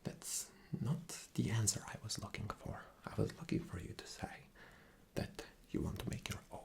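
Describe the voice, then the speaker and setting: A man plays a rude, arrogant male boss in an ASMR interview roleplay. His voice is low and mostly whispered, with a gravelly creak. He comes across as sarcastic, impatient and demanding. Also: steady tone, normal speed. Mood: impatient, scornful.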